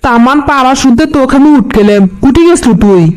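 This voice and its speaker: A woman's voice: high-pitched, fast, close to an everyday voice, low-quality recording. A woman voicing a nagging, bossy older village woman in a comedy cartoon, scolding. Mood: impatient.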